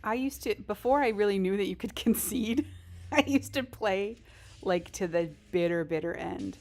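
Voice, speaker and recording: A woman's voice: medium pitch, moderate pace, darkish tone. A woman live streamer chatting casually over a game, in a rambling, informal and self-deprecating way. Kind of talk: casual gaming stream chat.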